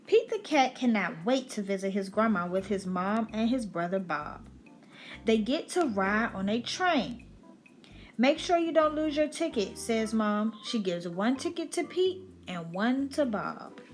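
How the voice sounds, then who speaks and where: A woman's voice: medium pitch, lively intonation, measured pace. A woman reading a children's picture book aloud as a storyteller on her channel.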